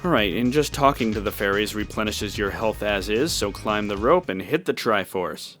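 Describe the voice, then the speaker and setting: Low-voiced man narrating a game walkthrough. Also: expressive, normal speed, a bit bright. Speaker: gameplay walkthrough host. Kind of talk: casual game walkthrough commentary. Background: game music.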